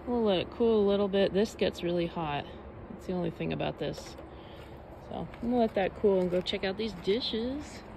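A woman's voice: mid-range voice, normal speed, creaky, noisy background. A young woman casually vlogging at a forest campsite, thinking aloud with lots of fillers as she films on a phone. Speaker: car-living vlogger. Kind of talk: casual vlog chat while camping. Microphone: handheld phone camera at arm's length.

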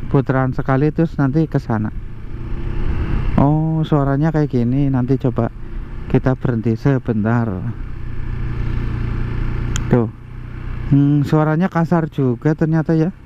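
A man chatting excitedly to viewers while riding a motorbike outdoors, recorded on a bike-mounted action camera. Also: medium pitch, unhurried, pauses often. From Central Java, Indonesia. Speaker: motovlogger. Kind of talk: casual ride-along motorcycle review. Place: outdoors on a moving motorbike, quiet residential streets. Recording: muffled action-camera audio with light road noise.

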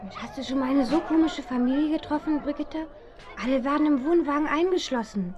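An actress playing an eager, excitable, impulsive teenage girl detective in a children's radio play. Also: high-pitched, brisk, muffled recording, a crowd in the background, natural voice. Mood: curious.

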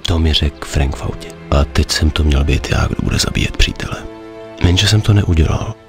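A man's voice: a bass voice, over music. A man playing a terse, grave mafia enforcer with a conscience in a dubbed video game. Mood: reflective. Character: stern, conflicted male mafia enforcer with a conscience.

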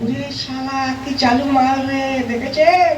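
A man's voice: very high-pitched, measured pace, a little hiss or hum. A man voicing a whining, cheeky, stubborn young bachelor brother in a folk comedy puppet show. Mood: cheeky grumbling. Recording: roomy echo, distant microphone.

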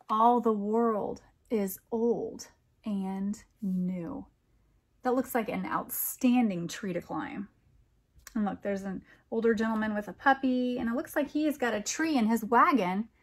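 Middle aged american lady doing storytime, slow pace, bit breathy, chatty wondering asides. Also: a little high. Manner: expressive, wondering asides to young listeners. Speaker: storytime reader and teacher. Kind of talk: reading a picture book aloud with chatty commentary.

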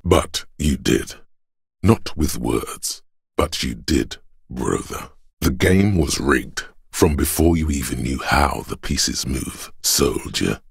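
A menacing, brooding inner voice from a video game, speaking from the very bottom of the male register. The delivery is slow and solemn, thick with gravelly creak. It coaxes and commands like dramatic narration, gruff and deliberate. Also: a bit bright, no room sound, clean studio sound, full character voice. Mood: grave, ominous. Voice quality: very deep, gravelly, husky rasp. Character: gruff, menacing inner voice of a man's body, reactionary, coaxing.

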